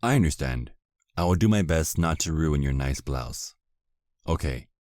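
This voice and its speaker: A man playing a polite, seductive vampire husband in an ASMR roleplay drama, often dropping into a hushed, hypnotic manner. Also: extremely low pitch, lively intonation, moderate pace, no echo, studio quality. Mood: gentle, reassuring.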